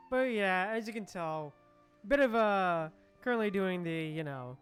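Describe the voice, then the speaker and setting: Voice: mid-range voice, expressive, takes it slowly, clear, resonant tone, soft background music. A streamer giving casual, chatty live commentary on a game and speaking directly to viewers. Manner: chatty, addresses viewers by name.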